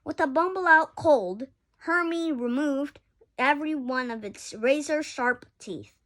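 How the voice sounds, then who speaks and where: A woman's voice: high voice, measured pace, fairly bright tone. A woman reading a children's picture book aloud expressively, with occasional stumbles and self-corrections. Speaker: story reader.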